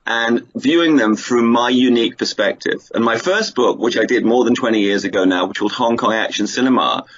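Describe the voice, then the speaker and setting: A man's voice: medium pitch, a little fast, a bit bright. A middle-aged man, chatting and telling anecdotes as the guest in a radio interview. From England. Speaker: interview guest, film writer and producer. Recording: dull lo-fi sound with clear background noise.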